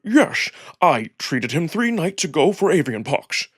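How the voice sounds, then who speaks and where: A man voicing a timid elderly wolf doctor who stammers and pleads with a husky voice in a visual novel. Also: on the high side, sing-song, measured pace, no echo, clean studio sound, pitched above the performer's own voice, full character voice. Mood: nervous, deferential.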